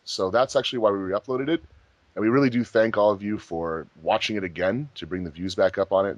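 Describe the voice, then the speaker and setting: A man's voice: on the low side, no echo. A man hosting a group commentary track in a casual, chatty style. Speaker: host of a fan dub commentary track.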